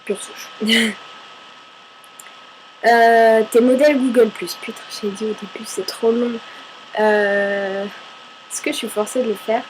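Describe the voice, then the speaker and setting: A woman from Paris with a fairly high voice, reading out viewer questions and answering them in a loose, chatty way. She mocks herself, swears now and then and answers her own remarks. A faint hum sits under her voice. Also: steady tone, slow, pauses often, poor audio. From Paris, France. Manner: chatty and self-mocking, argues with herself, swears casually. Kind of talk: casual FAQ chat to camera.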